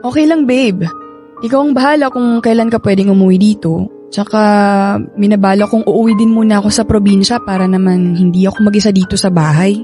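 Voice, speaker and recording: An actress playing a gentle, trusting young pregnant woman in a Filipino radio drama, speaking affectionately with a Filipino accent. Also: mid-range voice, steady tone, brisk, natural voice. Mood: tender, caring. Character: young pregnant live-in partner, sweet, trusting, caring. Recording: studio drama over background music.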